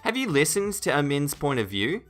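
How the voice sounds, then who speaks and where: Man playing a sceptical school administrator, forceful voice. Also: on the high side, sing-song, moderate pace, soft background music, pitched above the performer's own voice. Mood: reasoning. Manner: questioning, reasoning.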